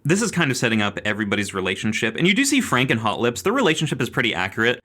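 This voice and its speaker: A man's voice: medium pitch, huge pitch swings, rapid-fire, pushed and bright. A man hosting a podcast, casually and animatedly retelling a film with put-on quotes. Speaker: podcast host. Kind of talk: casual chat.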